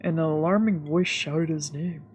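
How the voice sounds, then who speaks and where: A woman's voice: low voice, fairly even intonation, unhurried, slightly rough. A woman streamer reading visual novel narration aloud for a let's play. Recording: soft game music behind.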